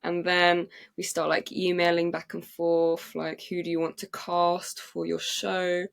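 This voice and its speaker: A young Englishwoman tells a story as a podcast guest, bubbling with excitement and tripping over her own words. Her voice is low and gravelly, with plenty of fry. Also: measured pace. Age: young adult. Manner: excited, many fillers and restarts. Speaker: playwright and actress guest. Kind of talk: casual interview storytelling. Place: podcast recording.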